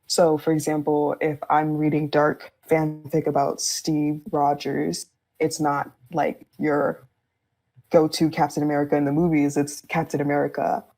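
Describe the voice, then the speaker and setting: A woman's voice: low voice, monotone, a little slow, a little mellow, poor audio. A woman co-hosting a casual podcast chat, candid and slangy with a wry edge.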